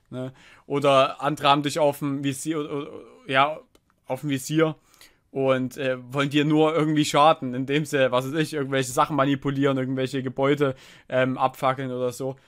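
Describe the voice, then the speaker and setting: A man's voice: medium pitch, fairly bright tone. A man streaming from home on a headset, chatting loosely and greeting his viewers as he reacts to a video. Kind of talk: casual live-stream commentary.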